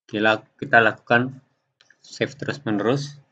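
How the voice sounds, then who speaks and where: Low-pitched man, dull lo-fi sound. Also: steady tone, bright, forceful tone. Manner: step-by-step, halting explanation. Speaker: software tutorial presenter. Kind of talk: unscripted screen-recording tutorial. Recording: dull lo-fi home recording.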